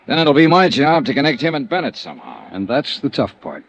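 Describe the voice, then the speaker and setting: A man's voice: mid-range voice, wildly swooping pitch, brisk, slight background noise. An American man playing a cool, dogged insurance investigator in a 1950s radio detective drama. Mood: businesslike, probing. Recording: dull vintage radio recording, some music.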